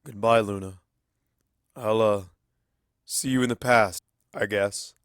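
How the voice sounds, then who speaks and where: A man voicing an affectionate, slightly awkward male pony wizard in a storybook reading, with casual, halting speech. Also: fairly low pitch, steady tone, clean tone, no room sound, clean studio sound. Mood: wistful.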